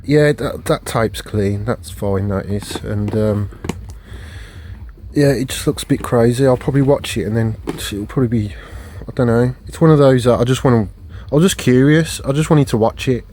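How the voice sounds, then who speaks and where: A man's voice: normal speed, mellow, a little hiss or hum. A man, rambling through a casual haul video with many fillers and restarts, recorded on a phone at home. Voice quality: low voice. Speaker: video collector vlogger. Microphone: phone camera.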